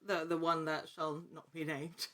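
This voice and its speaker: A woman talking casually as an interview guest, telling her story in an animated, chatty way. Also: low-pitched, a little slow. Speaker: podcast guest, actor.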